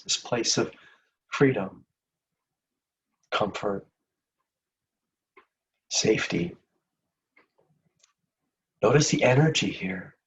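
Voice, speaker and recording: A man leading a guided meditation in a gentle, soothing, unhurried manner with long pauses between phrases. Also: lowish voice, distant mic, poor audio.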